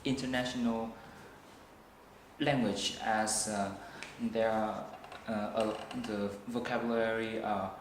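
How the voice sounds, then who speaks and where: A young man with a Vietnamese accent answers test questions very slowly, stopping often on fillers and restarting his words. His voice echoes in the room, with faint noise behind it. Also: lowish voice, little pitch movement. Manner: hesitant, slow, many fillers and restarts. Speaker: student test taker.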